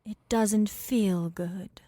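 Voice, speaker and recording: A woman playing a weary, confused and blunt character in an audio drama, halting and breathy. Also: medium pitch, fairly even intonation, warm tone, no echo. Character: confused, weary woman, blunt wine-drinking mother figure. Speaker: actor.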